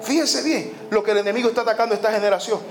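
A man's voice: high-pitched, rapid-fire, soft background music. A man preaching a sermon, emphatic, repeating phrases and dropping in the English word "so". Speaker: pastor. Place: church sanctuary.

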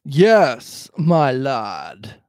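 A man voicing a fruity, theatrical, commanding pirate innkeeper with drawn-out, suggestive delivery in a storybook reading. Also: mid-range voice, sing-song, a little mellow, dry recording, clean studio sound. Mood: sly, welcoming. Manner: drawn out, innuendo-laden delivery.

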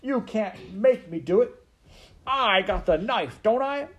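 A man doing a shrill, plaintive serving girl. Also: animated, takes it slowly, muffled recording. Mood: defiant. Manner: plaintive, wailing, uneducated speech. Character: simple, yearning, downtrodden serving girl, rough-spoken.

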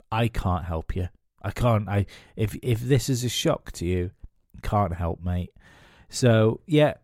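Sniffly low-voiced man with a cold. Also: mellow, dry recording, studio quality. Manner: rambling, many fillers and restarts. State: has a cold, nasal and sniffly. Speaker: podcast host. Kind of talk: casual solo commentary.

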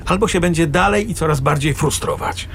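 A man's voice: fairly high pitch, a little fast, vocal fry, a bit bright, a little hiss or hum. An actor playing a sarcastic, exasperated middle-aged father arguing with his wife in a radio drama. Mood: dry, reproachful. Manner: sarcastic, argumentative.

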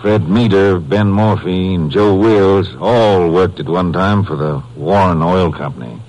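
An actor playing a plain-spoken small-town sheriff in a radio drama. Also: male voice, deep voice, measured pace, a little mellow, low-quality recording. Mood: businesslike, folksy. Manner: folksy, informal. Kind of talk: 1950s radio drama acting. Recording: old 1950s radio recording.

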